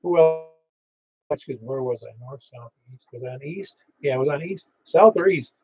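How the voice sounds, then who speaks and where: A man running a ham radio net, chatting in a relaxed way and reading out call signs, heard over a muffled radio receiver. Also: fairly high pitch, normal speed. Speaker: net control operator.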